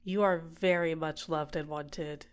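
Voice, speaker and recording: A woman performs a manipulative villainess for an ASMR roleplay. Her voice sits on the low side, soft and deliberate, soothing her captive with possessive tenderness and gentle coaxing. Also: steady tone, clean tone. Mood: tender, possessive. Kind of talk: ASMR roleplay, intimate personal attention.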